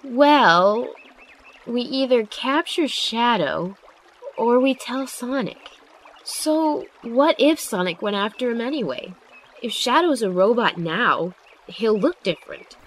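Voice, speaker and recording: Casting: actress as studious, sensible young heroine, unhurried delivery, fan radio drama with slight noise. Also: female voice, on the high side, expressive. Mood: calm, serious. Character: Zephyr, level-headed studious young female friend. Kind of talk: amateur radio drama acting.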